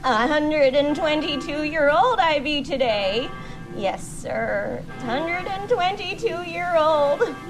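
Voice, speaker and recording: A woman playing a gleefully sinister, cackling witch over a hundred years old, telling a radio horror tale in drawn-out, folksy archaic speech. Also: high voice, expressive, over music, rough recording. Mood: gleeful, proud.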